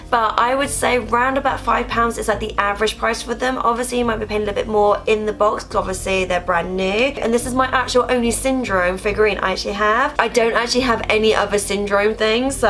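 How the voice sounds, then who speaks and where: A woman talks through her collectibles in a loose, rambling vlog voice at a medium pitch. She is getting over a cough and feels a cold coming on. Soft music plays faintly underneath. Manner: chatty, rambling, leans on filler words like "obviously" and "actually". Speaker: collector vlogger. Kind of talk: casual haul vlog.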